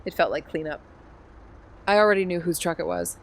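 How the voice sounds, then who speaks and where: A woman's voice: on the low side, sing-song, brisk. A woman playing an anxious young amateur detective and narrator in a modern noir audio drama. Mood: somber, numb. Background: music underneath.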